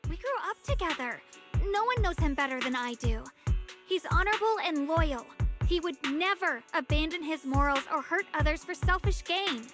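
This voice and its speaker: A woman playing an earnest, formal young swordswoman in an anime-style video game, measured and polite. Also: high voice, lively intonation. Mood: earnest, insistent. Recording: game dialogue over prominent music.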